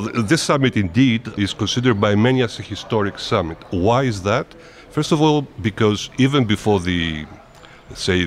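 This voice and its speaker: A man answering interview questions at length in a measured, formal way, often restarting his phrases. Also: on the low side, slight background noise. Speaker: interviewed diplomat.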